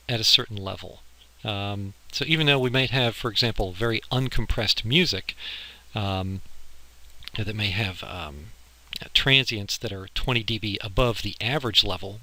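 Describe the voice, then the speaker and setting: A man's voice: fairly low pitch, bright, forceful tone, poor audio. A man giving an informal, step-by-step lecture as an instructor, explaining technical material over slides. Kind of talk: slide lecture on audio engineering. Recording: harsh amateur recording with clicking.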